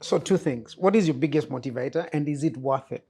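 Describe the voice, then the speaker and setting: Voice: medium pitch, fairly quick, vocal fry, dark tone, husky. An interviewer asking short questions and often repeating words when starting a sentence. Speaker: interviewer, host.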